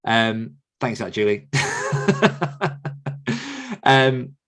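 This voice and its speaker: Male podcast host, thirties, candid, chatty, average pitch. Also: expressive, very slow, a bit bright. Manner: candid, chatty, laughs often. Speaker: podcast host and author coach. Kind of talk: casual podcast chat.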